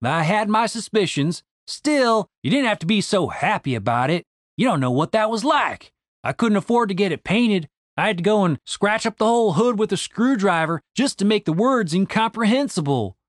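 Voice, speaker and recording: Audiobook role: sardonic big young guy voiced by the narrator, animated, grumbling, casually exasperated. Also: medium pitch, moderate pace, no room sound, studio quality, voice pushed up, natural voice. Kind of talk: audiobook character dialogue.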